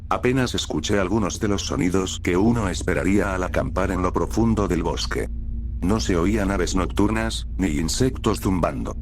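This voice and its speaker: A man with a low voice narrates a scripted horror tale in the first person. Faint ambient noise sits behind the narration.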